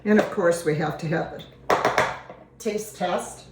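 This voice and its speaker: A woman hosting a home cooking show, a little hoarse, chatting in a homey way as she works through a recipe. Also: fairly low pitch, slow, very noisy. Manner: chatty, homey, talks while working. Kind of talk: casual cooking demonstration. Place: home kitchen. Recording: echoey kitchen with clattering dishes. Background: dishes and utensils clinking.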